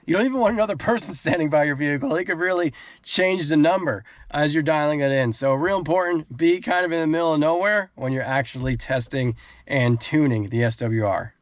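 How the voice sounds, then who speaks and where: Chatty, higher-voiced man in a dry recording. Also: no room sound. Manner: informal, slangy, chatty. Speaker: host of a car DIY channel. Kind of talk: casual how-to vlog talk.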